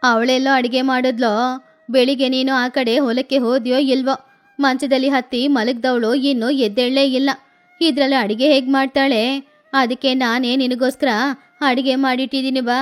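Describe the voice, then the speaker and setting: A woman voicing a greedy, sharp-tongued old mother-in-law who scolds and bickers, over faint music. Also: high-pitched, little pitch movement, normal speed, voice pushed down, close to an everyday voice. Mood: scheming. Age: older. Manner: scolding, quarrelsome.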